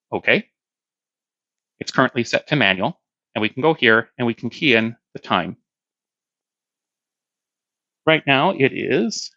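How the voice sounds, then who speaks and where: A man's voice: medium pitch, gravelly, sharp tone, no room sound, lo-fi sound. A man giving a hands-on product demonstration, explaining plainly step by step.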